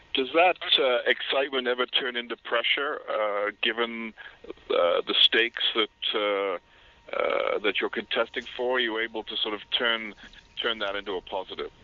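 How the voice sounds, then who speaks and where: A man's voice: fairly low pitch, no echo. A man working as a reporter, asking questions on a press conference call over the telephone. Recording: dull, dry phone-line sound.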